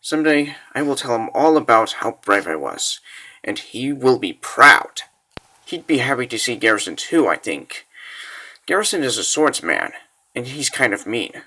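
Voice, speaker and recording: A man's voice: medium pitch, big pitch swings, normal speed, pushed and bright, heavily acted. A man voicing a brave, naive, kindhearted little girl in a comic dub, with an earnest and childlike manner. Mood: earnest, hopeful. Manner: earnest, childlike, simply spoken. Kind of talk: comic-book dub diary monologue.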